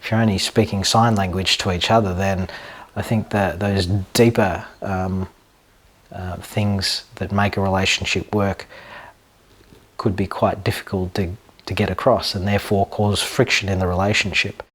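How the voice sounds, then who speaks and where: A man in his thirties speaks in a somewhat low voice, explaining carefully and earnestly with a few fillers. He is recorded indoors in a home kitchen. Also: level tone, moderate pace, a bit bright, husky. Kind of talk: documentary interview.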